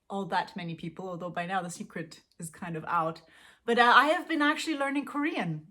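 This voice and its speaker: A woman's voice: fairly high pitch, sharp tone. Giggly woman. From Austria. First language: Austrian German. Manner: chatty, giggly, self-deprecating, lots of fillers. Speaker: language-learning vlogger. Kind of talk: casual chat to camera.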